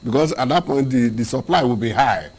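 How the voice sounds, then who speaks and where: A man's voice: mid-range voice, expressive, a little fast, darkish tone, breathy edge. A Nigerian man co-hosting a newspaper review, opinionated and argumentative, firing off pointed questions. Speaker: co-host.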